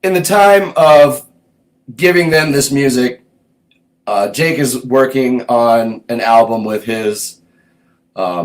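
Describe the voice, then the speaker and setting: A man hosting a show, casually recounting his own past in a laid-back, meandering way, thinking aloud as he goes. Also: mid-range voice. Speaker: host recounting his music career. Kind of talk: casual personal storytelling.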